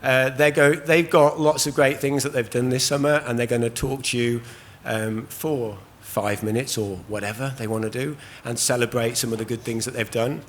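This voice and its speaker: Man, hoarse voice, panel host, chatty, filler-heavy, faint hall noise. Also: medium pitch, steady tone, normal speed. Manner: loose and chatty, with many ums and restarts. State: hoarse, losing his voice. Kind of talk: hosting a live conference panel. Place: conference stage at a trade show.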